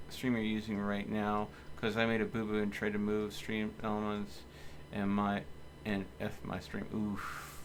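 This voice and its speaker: A man with a fairly low voice streams a video game live. He thinks out loud in a casual way and chats to his viewers as he goes. There is clear noise in the recording behind him. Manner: thinks aloud, talking to viewers.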